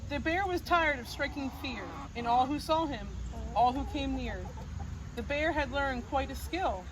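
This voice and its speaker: A woman reading a rhyming picture book aloud in a gentle sing-song cadence, recorded outdoors on a farm. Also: high-pitched, a little slow. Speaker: storybook reader. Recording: noisy outdoor recording with crickets.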